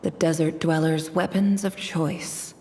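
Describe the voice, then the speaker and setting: Game cutscene: measured woman investigator, slow low delivery, roomy reverb. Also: steady tone, dark tone, a little hiss or hum. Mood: somber. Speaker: investigating narrator.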